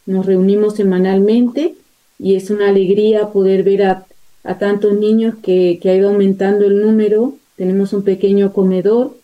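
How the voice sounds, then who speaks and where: A woman missionary describes her work as an interview guest. Her voice is extremely soft-toned and mellow, with flat, even intonation at a middling pitch. She often hesitates while searching for words. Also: normal speed, dull audio. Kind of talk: spontaneous interview account.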